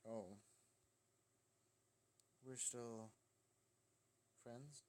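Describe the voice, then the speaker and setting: A man's voice: mid-range voice, very slow, a little mellow, a little hiss or hum. A man voicing an eager, forgiving young male student in a visual novel, earnest and easygoing with slight hesitation. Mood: tentative. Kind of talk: visual novel character reading.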